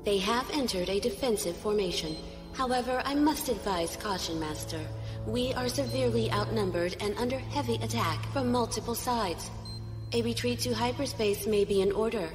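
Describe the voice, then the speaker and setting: A woman's voice: on the high side, lightly acted. A woman playing an obedient, composed ship's aide who reports to her master formally in a space opera audio drama. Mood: cautious, deferential. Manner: formal, measured, deferential. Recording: echoing hall-like reverb, music underneath.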